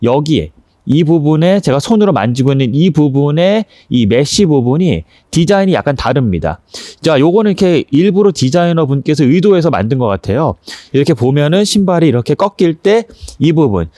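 A man's voice: on the high side, sing-song, brisk, mellow, no room sound, clean studio sound. A man presenting a casual hands-on review, explaining each step politely as he demonstrates.

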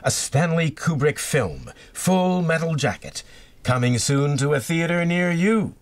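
A man's voice: a little high, animated, unhurried. An actor playing an eager male voice-over artist announcing a film trailer in a radio drama.

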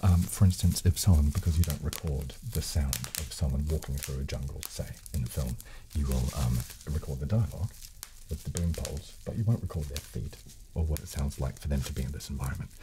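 A British man in his thirties hosting an ASMR show, speaking softly and gently as he explains things, close to the microphone. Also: a bass voice, fairly quick. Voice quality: soft, hushed. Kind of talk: soft-spoken ASMR chat. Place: small decorated room, indoors. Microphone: close studio condenser microphone on table. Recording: crackling plastic handling noise on mic.

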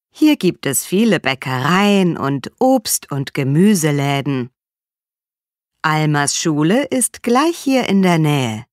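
A woman playing a low-voiced, lively-toned mother. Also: no room sound, clean studio sound, exaggerated voice. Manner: slow, clear delivery. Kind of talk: scripted textbook monologue.

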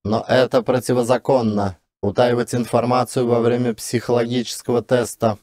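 A man's voice: mid-range voice, flat delivery, moderate pace, a little mellow, dry recording, clean studio sound, close to an everyday voice. Man voicing a fussy, clinical robot examiner. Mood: querulous. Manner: clinical, precise, grumbling. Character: fussy, querulous robot psycho-tester machine.

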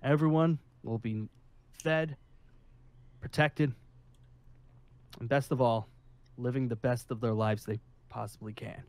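A man playing an officious, somewhat awkward leader of a survivor haven in an improvised zombie roleplay, speaking formally with hesitant pauses. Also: medium pitch, fairly even intonation, darkish tone, no room sound, lightly acted. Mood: self-assured, conciliatory. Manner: formal and rule-minded, with hesitant pauses and sighs.

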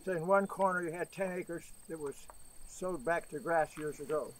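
A man's voice: a little high, moderate pace, low-quality recording. A man in the audience plainly asking practical questions at an outdoor pasture field day. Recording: loud outdoor noise, crickets.

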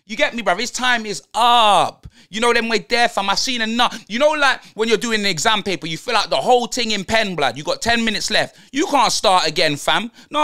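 A man's voice: high-pitched, sharp tone. A man playing a brash young London roadman football fan, ranting in heavy slang and tagging lines with blood and fam. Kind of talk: comedic football rant.

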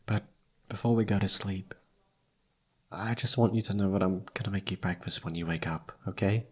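A man playing an affectionate, sleepy best friend turned lover in an intimate ASMR roleplay, speaking softly and tenderly. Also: deep voice, normal speed, breathy edge.

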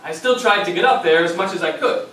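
A man's voice: sits high, fast talker, fairly bright tone. A young man acting as a fond, patient grandson in a memory play, speaking in a wry, reflective way. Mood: reflective, wistful. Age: young adult. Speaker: stage actor and narrator. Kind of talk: memory play monologue and dialogue. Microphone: far audience camera. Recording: very echoey theater, distant camera, faint noise.

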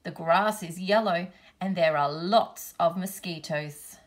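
A woman reading a children's picture book aloud in measured, deliberate phrases. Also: lowish voice. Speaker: storybook reader, teacher.

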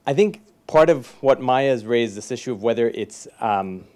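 A man's voice: medium pitch, lively intonation, normal speed, darkish tone. A man in the audience, pressing an earnest point about disclosure. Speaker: audience member.

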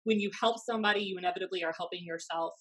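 An American woman in her thirties telling anecdotes casually, in a blunt, animated, sweary way, as a podcast host. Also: lowish voice, racing, husky, low-quality recording. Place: small room at home, indoors. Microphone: desk podcast microphone on video call.

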